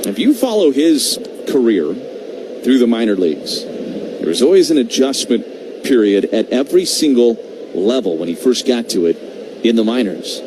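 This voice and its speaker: A man doing live baseball play-by-play on radio, talking in measured short phrases with conversational asides. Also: mid-range voice, big pitch swings. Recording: clearly noisy live radio broadcast.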